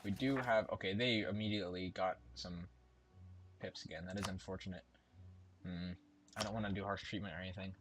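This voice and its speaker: A man's voice: low-pitched, fairly even intonation, brisk, fairly bright tone, a little hiss or hum. A man casually commentating a strategy game as he plays, thinking aloud and correcting himself as he goes. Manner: thinking aloud while playing, chatty and self-correcting. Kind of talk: casual let's-play commentary. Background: game music and keyboard typing.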